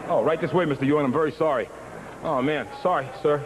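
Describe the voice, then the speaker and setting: A man's voice: fairly high pitch, fast talker, background noise, natural voice. A man playing a chatty, bumbling arena security guard with brash street talk in a comedy sketch. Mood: apologetic, deferential. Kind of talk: mock interview comedy sketch.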